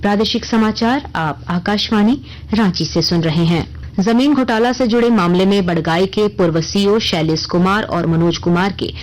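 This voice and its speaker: A woman reading a scripted radio news bulletin in a formal, measured newsreader manner. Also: medium pitch, poor audio. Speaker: news reader.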